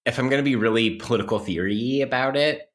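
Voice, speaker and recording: A man's voice: medium pitch, quick pace. A man talking casually and analytically on a podcast, wry and academic, circling around his points as he explains. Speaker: podcast co-host playing interview guest.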